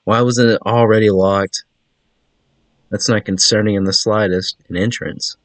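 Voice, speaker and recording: A man with a fairly low voice plays through a game, reading its text aloud and commenting casually. He slips in wry asides. The sound is close and dry, with little room in it. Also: steady tone, a little slow, fairly bright tone, no echo. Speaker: gaming channel host.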